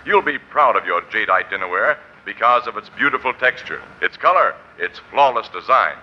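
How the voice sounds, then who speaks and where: A man's voice: on the low side, animated, moderate pace. A male radio announcer reading a scripted 1940s commercial with a polished sales delivery. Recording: dull, noisy 1940s radio recording.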